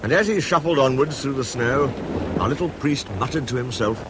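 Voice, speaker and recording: An actor playing a genial literary storyteller with a British accent, narrating a mystery in a measured, wry, ornate manner. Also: male voice, mid-range voice, background noise, natural voice. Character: genial, literary male storyteller, fond of his friend. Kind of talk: scripted first-person story narration.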